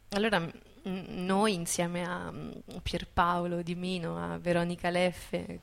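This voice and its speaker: A woman performing as a storyteller, moving between theatrical narration and informal, reflective talk to an audience. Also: medium pitch.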